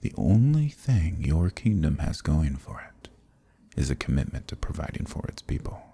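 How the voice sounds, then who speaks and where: A man playing an ancient, smug, dominant archdemon in a dark fantasy ASMR roleplay, speaking slowly and deliberately with a condescending, commanding manner. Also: no room sound, heavily acted. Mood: smug, weary. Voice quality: very deep, gravelly, husky, breathy.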